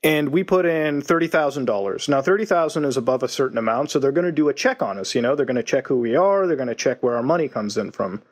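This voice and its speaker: A man's voice: mid-range voice, expressive, fast, few pauses. A man arguing heatedly in a podcast debate, talking over the others. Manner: argumentative, talks over others.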